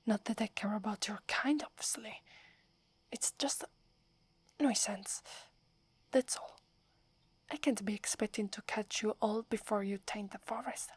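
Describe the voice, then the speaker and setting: A woman with an Italian accent voicing a proud, wary young werewolf girl in a soft, breathy whispered roleplay, haughty but stammering when flustered. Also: medium pitch, a little slow, long pauses, no echo. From Italy.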